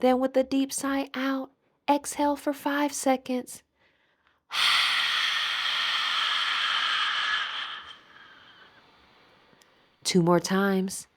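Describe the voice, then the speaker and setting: A woman leading a guided meditation as an instructor, speaking in a soothing, deliberate manner with gentle pauses. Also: high-pitched, noisy background.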